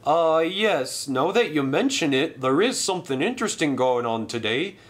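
Chatty engine, high and offhand, lively; a man plays him. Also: normal speed, close to an everyday voice. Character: chatty male engine, easygoing.

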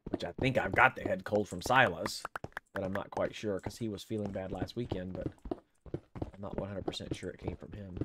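A man's voice: low-pitched, steady tone, brisk, noisy background. Older man with a head cold, rambling. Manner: rambling, thinks aloud, counts blocks under his breath. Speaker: streamer. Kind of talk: casual gameplay commentary. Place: home room.

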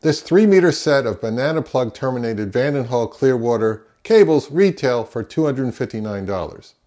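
American man with very lively pitch swings. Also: normal speed. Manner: emphatic, opinionated, rhetorical questions answered himself. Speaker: audio reviewer and host. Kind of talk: semi-scripted product review to camera. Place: small indoor home office.

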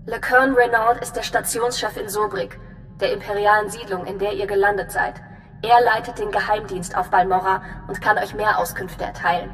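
An actress playing a composed, businesslike intelligence officer giving a precise, measured briefing in a video game. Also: female voice, steady tone, a bit bright, faint music behind, close to an everyday voice. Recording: long reverb, faint rumbling noise.

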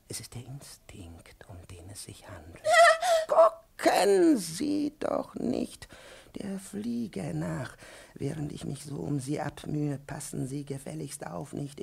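Volatile, raspy tyrannical professor. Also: male voice, medium pitch, a little mellow, heavily acted. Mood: pedantic, hushed. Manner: pedantic, volatile, theatrical. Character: domineering, irascible male professor, pedantic and menacing. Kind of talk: 1950s radio play acting.

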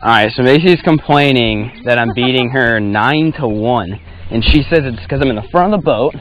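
A young man in his twenties, casually teasing and keeping score out loud while fishing from a small boat on a lake. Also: medium pitch, normal speed, muffled recording, slight background noise. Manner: teasing, keeps score aloud. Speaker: fishing vlogger. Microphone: action camera on a chest harness.

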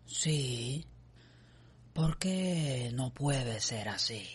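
An actor playing a worried older father in a radio drama, measured and doubtful. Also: mid-range voice, animated, husky, full character voice.

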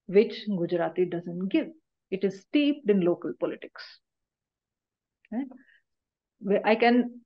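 Woman lecturing over a muffled video call. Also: medium pitch. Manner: explanatory, with frequent checking "right?" tags. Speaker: university lecturer. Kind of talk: online university lecture. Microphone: laptop webcam on a video call.

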